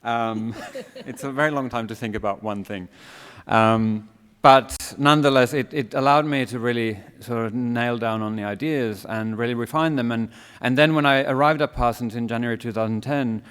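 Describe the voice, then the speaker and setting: A man from Finland with a Finnish accent mixed with Australian, giving a relaxed panel talk with many fillers. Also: medium pitch, normal speed, smooth. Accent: Finnish with Australian mix. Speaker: fashion design academic, panel speaker. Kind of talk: panel presentation. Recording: reverberant hall.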